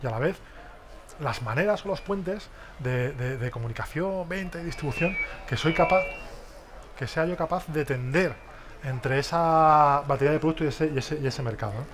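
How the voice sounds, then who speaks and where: A man's voice: a little high, moderate pace, background noise. A man in his thirties giving an interactive business workshop on a stage in a large exhibition hall, speaking into a headset mic and often quizzing the audience. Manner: lively, questioning the audience, many tag questions.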